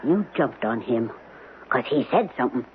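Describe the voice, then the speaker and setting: Husky, insistent woman. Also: very deep voice, animated, normal speed, exaggerated voice. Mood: stern. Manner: insistent, interrogating. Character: stern, suspicious woman relentlessly pressing a man for answers. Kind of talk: 1970s radio drama acting. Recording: tinny old radio broadcast.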